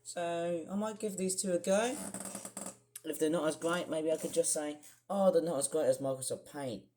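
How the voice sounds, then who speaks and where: Young man, croaky voice, rambling vlogger. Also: fairly high pitch, moderate pace, a little mellow, a little hiss or hum. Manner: rambling, many fillers and restarts. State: croaky, clearing throat. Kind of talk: casual screen-share vlog.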